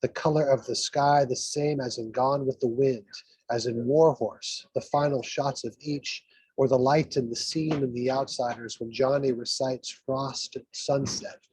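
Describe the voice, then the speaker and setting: Man, guest poet, husky voice, dry sound, verse reading with informal asides. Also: medium pitch, steady tone, a little slow, a little mellow, no room sound, poor audio.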